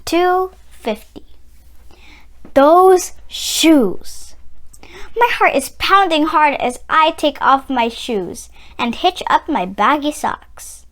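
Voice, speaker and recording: High-pitched, animated woman reading a storybook. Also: takes it slowly. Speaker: read-aloud channel host, storyteller. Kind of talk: expressive children's picture book read-aloud.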